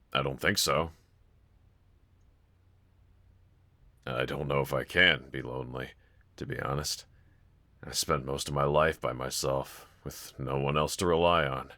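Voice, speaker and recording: A man's voice: extremely low pitch, pushed and bright, exaggerated voice. A man playing a brooding young villain roommate in an intimate audio roleplay, confiding hesitantly and wryly to one listener. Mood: guarded, pensive. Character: brooding young male villain roommate, self-loathing but softly grateful.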